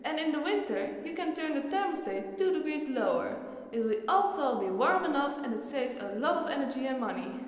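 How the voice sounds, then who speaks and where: A woman's voice: sits high, big pitch swings, fairly quick. A woman playing a knowledgeable, earnest friend who patiently explains things in a scripted educational film dialogue. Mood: encouraging, instructive. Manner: explanatory, instructive. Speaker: character in a student educational film. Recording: very echoey hall-like reverberant room.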